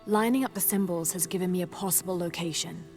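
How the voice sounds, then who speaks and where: A woman's voice: lowish voice, fast talker, close to an everyday voice. A young woman playing an earnest, determined adventurer in a naturalistic video game drama. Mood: focused, calm. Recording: loud music behind the voice.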